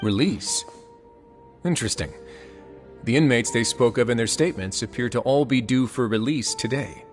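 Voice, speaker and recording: A commanding, level-headed duke from a video game , acted by a man. His voice is medium in pitch and he talks with measured, formal authority. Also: faint music behind, natural voice. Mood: composed, pensive. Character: composed, authoritative male duke running a prison.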